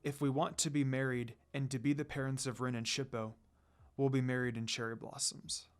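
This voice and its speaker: A man voicing a solemn, tender demon lord in a fantasy story, speaking softly and in measured, earnest phrases. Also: level tone, close to an everyday voice. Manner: low, soft, measured, earnest. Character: solemn, tender, cool-headed male demon lord in love.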